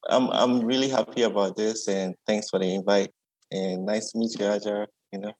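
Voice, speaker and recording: A man's voice: fairly low pitch, fairly even intonation, normal speed, darkish tone, poor audio. A man, an artist chatting easily as a guest and often adding "yeah". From Ghana. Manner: easygoing, reflective, often adds "yeah". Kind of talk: casual conversation.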